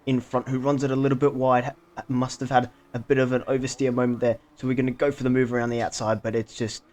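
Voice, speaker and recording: A man with a medium-pitched voice narrates his own sim race as running commentary. His intonation stays fairly level as he follows the action corner by corner, speaking as the player behind the wheel. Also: level tone, quick pace, a little mellow. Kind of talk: race commentary over recorded gameplay.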